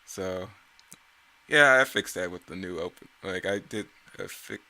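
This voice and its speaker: Bright-voiced man rambling through casual commentary. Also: sits low, unhurried, vocal fry. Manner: rambling, self-correcting, giggly. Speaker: creator giving commentary on own motion comic series.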